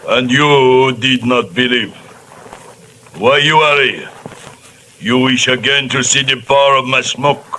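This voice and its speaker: A superstitious old voodoo fake who speaks in slow, broken English, commanding and proud. His voice is bright and forceful, and he draws every phrase out at a very slow pace. It sounds like an old radio play with a faint hiss underneath. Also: medium pitch, fairly even intonation, rough recording. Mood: imperious. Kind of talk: 1940s radio acting.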